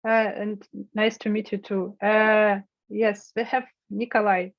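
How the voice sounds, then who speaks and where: A woman's voice: mid-range voice, little pitch movement, unhurried, dry recording. Encouraging woman hosting a video call. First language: Russian. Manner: friendly, encouraging, prompting participants. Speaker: workshop host. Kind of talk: online workshop moderating. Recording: dull lo-fi call audio.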